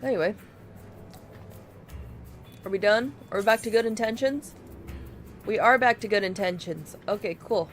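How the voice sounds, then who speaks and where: A woman's voice: mid-range voice, moderate pace, long pauses, faint music behind. A woman chatting casually as she plays an online game on a livestream. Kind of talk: gaming livestream chat, reading game dialogue aloud.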